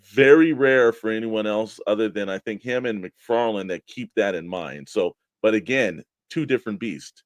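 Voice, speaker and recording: A man's voice: lowish voice, normal speed, no room sound. A man co-hosting a hobby show, talking casually and explaining his opinions at length. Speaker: co-host of a toy collecting show.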